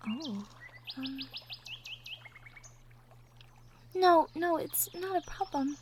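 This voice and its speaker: A woman playing a shy, sweet young cottagecore woman in love, speaking softly and hesitantly in an intimate roleplay audio drama. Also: high voice, lively intonation, takes it slowly. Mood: shy, wistful. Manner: hesitant, bashful, soft-spoken, trailing off. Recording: faint background noise, birdsong effects. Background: birdsong, outdoor nature sound effects.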